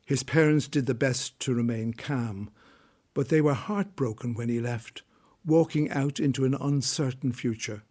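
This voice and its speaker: A man's voice: mid-range voice, normal speed, darkish tone. A man narrating a biblical radio drama in a scripted storytelling style.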